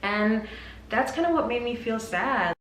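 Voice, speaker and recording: A woman's voice: a little high, measured pace. Woman in her twenties, casual chatty vlog, slangy, playful acted asides, distant roomy sound, faint noise. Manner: animated, dramatizing her own thoughts in little acted asides; informal, slangy, candid. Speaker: vlogger. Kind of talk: casual chit-chat to camera. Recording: roomy echo, off-mic, faint background noise.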